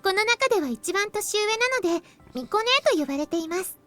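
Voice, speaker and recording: A cute, friendly anime girl speaks in a very high, bright voice. It is dry, close visual novel acting with faint music behind it. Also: animated, very fast. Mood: sweet, friendly.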